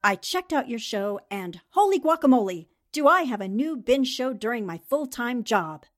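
A woman from a radio cast reads a prepared announcement. Her voice is fairly high. She addresses the listeners directly. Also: very animated, moderate pace. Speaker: radio show cast member. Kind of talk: scripted thank-you announcement.

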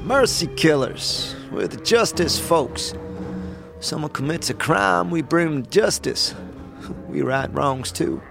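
A man's voice: fairly high pitch, animated, takes it slowly, vocal fry, pitched above the performer's own voice, exaggerated voice. A man voicing a world-weary woman who leads soldiers of justice in a fantasy audio drama, wry, knowing and easygoing. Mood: dry, confident. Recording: music under the voice.

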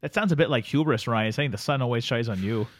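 A man's voice: mid-range voice, fast, close to an everyday voice. A man playing a jokey Canadian character with a folksy, teasing manner as a podcast co-host. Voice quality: folksy, teasing, calls everyone buddy. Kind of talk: comedy podcast banter.

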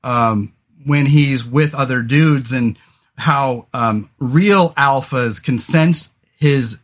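A man's voice: mid-range voice, slow. A man in his forties hosting a podcast, talking casually and rambling with lots of fillers, restarts and casual swearing. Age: 40s. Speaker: podcast host.

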